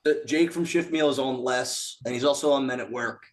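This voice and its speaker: A raspy-voiced man from a band, joking and swearing in casual podcast chat, often acting out what people said. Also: medium pitch, a little fast. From Philadelphia area. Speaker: band member guest.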